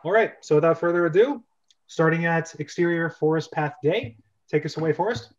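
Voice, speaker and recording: Slightly muffled, higher-pitched male host. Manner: halting, self-correcting. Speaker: host and script reader. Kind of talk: casual, joking amateur table read.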